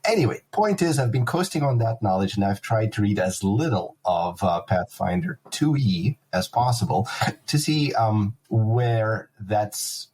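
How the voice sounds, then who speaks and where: Middle-aged man from Ukraine, wry player, husky, low voice. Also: darkish tone. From Odessa, Ukraine. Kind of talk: casual podcast chat.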